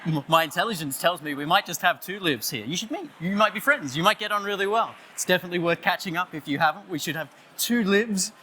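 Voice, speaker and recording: A man with an Australian accent giving a playful conference talk, loudly joking and chatting with the audience. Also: high voice, lively intonation, fast talker, few pauses, a little hiss or hum. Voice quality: loud voice. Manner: jokey, playful, chatty with the crowd. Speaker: conference speaker. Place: conference stage, audience on headphones.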